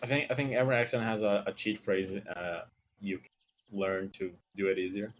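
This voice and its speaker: A man's voice: on the low side, steady tone, brisk, a little hiss or hum. A man from Brazil whose first language is Portuguese, chatting casually on a stream. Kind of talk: casual chat on a livestream.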